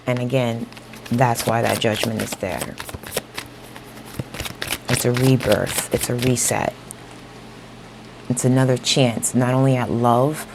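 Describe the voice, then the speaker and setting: A woman giving a casual tarot reading straight to her viewers, a little hoarse as she is losing her voice, often checking in with "okay?". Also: a bass voice, noisy background. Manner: talks straight to viewers, repeats "okay?" and "you know". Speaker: tarot reader.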